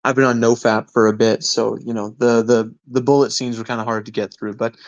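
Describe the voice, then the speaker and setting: A man's voice: mid-range voice, flat delivery, brisk, dry recording, highs cut off. A man in his twenties chatting casually as a podcast panelist. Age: 20s. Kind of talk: casual chat.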